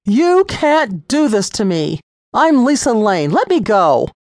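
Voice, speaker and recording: A reader voicing a furious, spoiled rich young woman arrested for speeding, shouting indignantly in a storybook reading. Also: medium pitch, very animated, fairly quick, no room sound, studio quality, pitched above the performer's own voice, close to an everyday voice.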